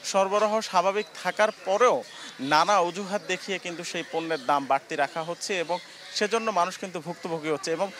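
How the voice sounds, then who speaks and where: High-voiced young reporter at outdoor market. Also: male voice, fairly quick, fairly bright tone, slight background noise. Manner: explanatory with frequent hesitations. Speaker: field reporter. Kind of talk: live news report. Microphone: handheld mic. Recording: faint outdoor market ambience.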